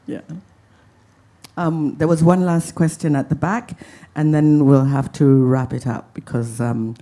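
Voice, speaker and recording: A man moderating a festival Q&A, a playwright himself, speaking in a measured, gently wry way. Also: a little high, steady tone. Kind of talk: reflective panel talk.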